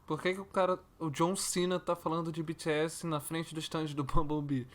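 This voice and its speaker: A man hosting a reaction video, making quick, casual, slangy comments. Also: fairly high pitch, level tone.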